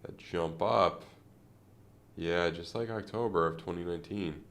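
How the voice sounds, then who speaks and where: Man, very low voice, nasal, stuffed-up, rambling trading chat. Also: a little slow, fairly bright tone. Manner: rambling, thinking aloud with many fillers. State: congested from a sinus infection. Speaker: crypto trading streamer. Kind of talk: casual live-stream chart commentary.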